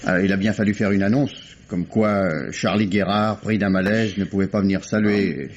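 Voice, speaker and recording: An actor playing a shaken, practical theatre stage manager who explains events plainly and hesitantly in an old radio play. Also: male voice, on the low side, steady tone, normal speed, natural voice. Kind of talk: 1950s radio drama acting. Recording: dull old radio broadcast with faint hiss.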